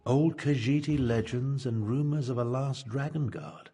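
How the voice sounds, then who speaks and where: An actor playing a haughty, scholarly battlemage in a fantasy video game, with a dry, pompous, deliberate delivery. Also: male voice, medium pitch, mellow, slight background noise, close to an everyday voice. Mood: scornful, intrigued. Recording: long hall reverb.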